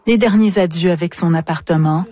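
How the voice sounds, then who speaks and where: A woman's voice: lowish voice, a little fast, dark tone, a little hiss or hum. A woman narrating a scripted radio news report.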